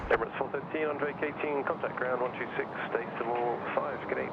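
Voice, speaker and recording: A man's voice: mid-range voice, fairly even intonation, fairly quick. Male controller over very noisy radio. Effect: air traffic radio. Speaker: air traffic controller. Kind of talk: clipped procedural radio calls. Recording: dull, very noisy air traffic radio.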